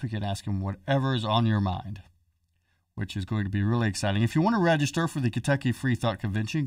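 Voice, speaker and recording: A man's voice: medium pitch, no room sound, recorded from a distance. Chatty joking guy hosting a podcast, bit off the mic, dry room. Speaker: podcast host. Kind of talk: casual podcast chat.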